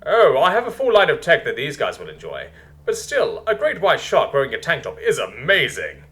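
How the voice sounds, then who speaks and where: A man voicing an irreverent, easily amazed Earth leader with a casual, wry, excitable manner in a story. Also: on the high side, normal speed, voice pushed up. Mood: delighted, amazed.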